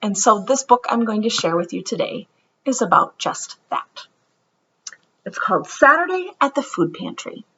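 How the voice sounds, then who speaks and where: A woman introducing a picture book to young children, speaking in simple, deliberate phrases as a read-aloud storyteller. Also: a little high, big pitch swings, pushed and bright, highs cut off, a little hiss or hum.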